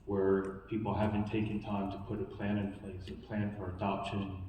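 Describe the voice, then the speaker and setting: A male panelist gives a calm, analytical answer in a low, flat and mellow voice. His words carry from a distance through a big, echoing hall. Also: slight background noise. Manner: measured, analytical. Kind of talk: panel discussion. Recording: echoey hall, distant mic.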